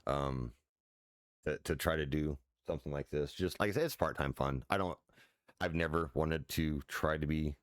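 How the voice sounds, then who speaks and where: A man in his forties, a streamer with a deep voice, chatting loosely at home with many fillers and restarts and casual swearing. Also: moderate pace, smooth, no room sound, studio quality. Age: 40s. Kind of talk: casual rambling chat while sim racing. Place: his house.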